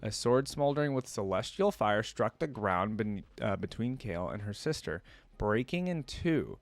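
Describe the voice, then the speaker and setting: A man's voice: lowish voice, animated, normal speed, dry recording. A man hosting a reaction video, talking conversationally and thinking aloud as he comments and reads lore.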